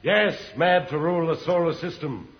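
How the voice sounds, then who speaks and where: A man's voice: on the high side, moderate pace, close to an everyday voice. An American man playing a sneering, grandiose tyrant of Mars in an old-time radio serial. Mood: gloating, scornful. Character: gloating megalomaniac male tyrant of Mars. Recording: muffled vintage radio recording.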